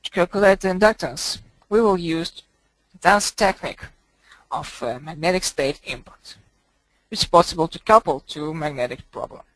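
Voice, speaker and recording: A man presenting a software tutorial as a screencast voice-over, speaking haltingly in short broken phrases. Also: on the high side, dry recording, poor audio.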